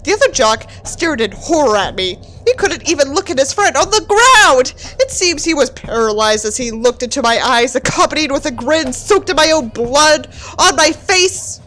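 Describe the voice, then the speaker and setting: Animated high-voiced woman, dramatic reader. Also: moderate pace, poor audio. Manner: theatrical, exaggerated, sarcastic. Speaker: host reading a book aloud with commentary. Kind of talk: mock-dramatic book reading with candid asides.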